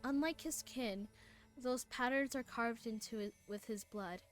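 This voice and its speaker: Streamer lady, spanish first language, bit high voice, stumbles and restarts a lot, game music low. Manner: halting, many restarts and fillers, animated asides. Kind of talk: reading game text aloud and chatting.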